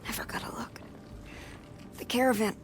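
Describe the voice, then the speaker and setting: An actor playing a dying trapped miner in a video game, speaking in a weak, breathless whisper. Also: male voice, moderate pace, natural voice, rough recording. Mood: fading.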